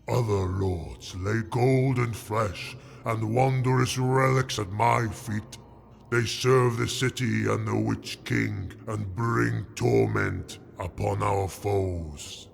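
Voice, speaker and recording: A man voicing a cruel, imperious armored dark-elf overlord in a slow, threatening, rumbling growl for a fantasy audiobook. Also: fairly even intonation, heavily acted. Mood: contemptuous menace. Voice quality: deep rumbling gravelly growl. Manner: slow, threatening, pompous pronouncements.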